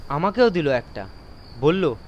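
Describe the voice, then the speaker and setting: A man's voice: fairly high pitch, very animated, a little slow, highs cut off. Male audio-story narrator: suspenseful, theatrical, very varied intonation, crickets and noisy ambience behind. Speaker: narrator of audio horror stories. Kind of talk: dramatised first-person story narration.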